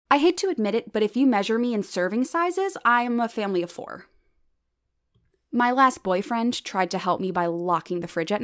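A woman acting as a self-deprecating female stand-up comic in an audio drama. Her voice sits at a middling pitch, and she delivers her lines wryly, shaped toward the punchline. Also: fairly bright tone, close to an everyday voice. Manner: wry, punchline-driven delivery. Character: self-deprecating plus-size female stand-up comedienne named Eleanor.

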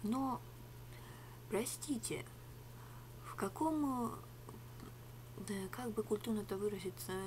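A middle-aged woman rambling through a sarcastic product review in a home room, switching between a whisper and a soft speaking voice. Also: medium pitch, slight background noise. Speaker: ASMR vlogger. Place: small home room with rug on wall. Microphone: webcam at arm's length.

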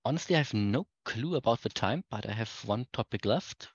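A man giving a technical keynote presentation over a video call, explaining things in halting, hesitant phrases. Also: medium pitch, lively intonation, breathy edge, no echo. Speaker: conference speaker. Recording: dull, muffled lo-fi online-call audio.

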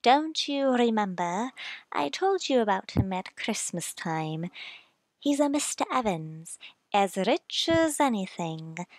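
An actress puts on a society matron in a period radio play. She is pushy and gushing, forever matchmaking, in a high voice with a dry, close sound. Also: no echo, full character voice. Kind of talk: old-time radio drama acting.

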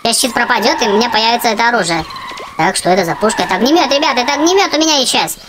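An excitable gaming host giving casual gameplay commentary for children, often exclaiming and addressing viewers. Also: medium pitch, racing, heavy background noise. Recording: music behind the voice.

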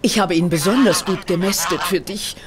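An actress playing a hearty, no-nonsense sister who keeps geese, in an audio drama, bustling in manner. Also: female voice, fairly low pitch, moderate pace, crowd noise, lightly acted. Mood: cheerful. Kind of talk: German audio drama acting. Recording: loud farmyard goose sounds behind voice.

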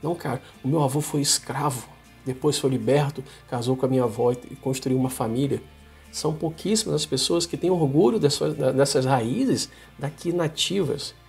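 A man's voice: mid-range voice, normal speed, gravelly, darkish tone, breathy edge, soft background music. A Brazilian man in his forties giving an informal, emphatic opinion monologue to camera in colloquial, slangy speech. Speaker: vlogger. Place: small home office, indoors.

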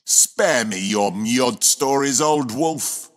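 An actor playing a surly lone wolf warrior in a game, with a gritty, husky voice. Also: male voice, medium pitch, lively intonation, slow pace. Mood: surly, defiant. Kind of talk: game voice lines, dramatic acting.

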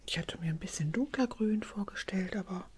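Softly whispering middle-aged woman, gentle ASMR. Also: medium pitch. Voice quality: soft, breathy whisper. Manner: gentle, slow, unhurried. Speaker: ASMR creator playing a customer in a drugstore roleplay. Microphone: close ASMR microphone.

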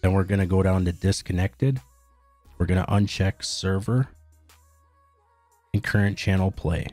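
A man narrating a step-by-step software tutorial in a plain, instructional way. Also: sits low, fairly even intonation, measured pace, no room sound, faint music behind. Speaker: tutorial host.

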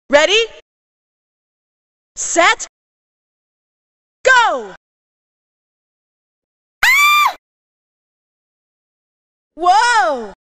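An excitable arcade racer shouting out callouts. Her voice is piercingly high and forceful, swinging wildly in pitch. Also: dry recording, studio quality, exaggerated voice. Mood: announcing. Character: excitable high-voiced female arcade racing game character. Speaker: game announcer. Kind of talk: exclaimed arcade racing game callouts.

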